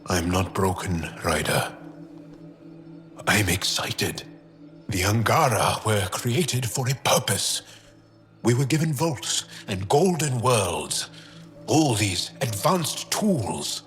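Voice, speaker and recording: A male alien from a sci-fi game, very low in pitch and full of quiet awe. He speaks in measured, reflective phrases with deliberate pauses, over a clear bed of music. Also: expressive. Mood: awed, hopeful. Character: calm, awestruck male alien philosopher, thoughtful and hopeful. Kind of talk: video game dialogue acting. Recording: music under the voice.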